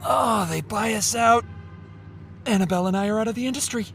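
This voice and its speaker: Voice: medium pitch, a little fast, vocal fry, fairly bright tone, raised voice, slight background noise. An actor in an audio drama playing a flamboyant, sarcastic male entertainment executive with a theatrical, catty delivery. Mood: dramatic, explanatory.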